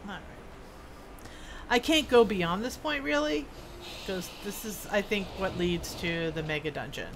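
A woman's voice: medium pitch, lively intonation, unhurried, background noise. A woman giving casual, playful, colloquial commentary as she streams a video game.

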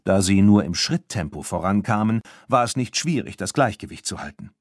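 A man narrating a children's audiobook in an animated storytelling manner. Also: lowish voice, moderate pace, no room sound, clean studio sound. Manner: animated storytelling, acting out dialogue.

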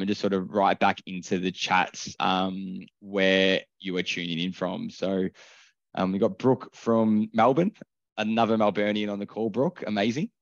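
Deep-voiced man hosting a webinar. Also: no echo, muffled recording. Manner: relaxed, many filler ums. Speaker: webinar host for a recruitment firm. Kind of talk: casual webinar presenting. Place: office, over a video call.

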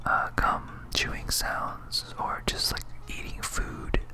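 Someone whispering casual, muttered ASMR gameplay commentary as a video game streamer. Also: takes it slowly. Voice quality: whispered, unvoiced.

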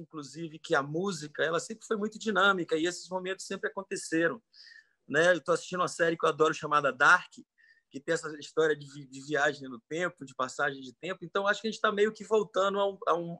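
A man's voice: a little high, bright, forceful tone, no room sound. A man from Salvador, speaking earnestly and thoughtfully as a panel guest on a video call from home, often restarting his phrases. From Salvador, Bahia, Brazil. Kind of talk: online panel discussion. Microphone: computer mic on a video call.

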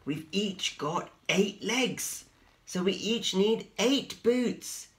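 A man reading aloud and voicing an excited little girl spider in a high, squeaky voice. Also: drawn-out words, full character voice. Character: small excited girl spider, eager to count.

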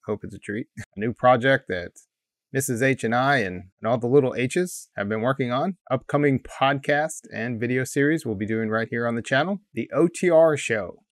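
A man's voice: medium pitch, normal speed, no echo. A man in his forties, a playful, folksy host giving a casual introduction close on a studio mic. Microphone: large studio mic close in front.

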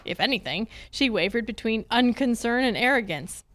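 A woman acting a sharp, skeptical young private detective in an old-time radio mystery, wry and brisk. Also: a little high, animated. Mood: skeptical, bantering. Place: theatre stage, live performance. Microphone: handheld-style mic on a stand.

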